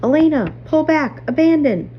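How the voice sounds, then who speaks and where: A woman's voice: sits high, expressive, moderate pace, voice pushed up. A woman voicing an alarmed teenage girl miner calling urgently to her team in a storybook reading. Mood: panicked. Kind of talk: acted dialogue in a storybook reading. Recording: muffled audio, clear background hum.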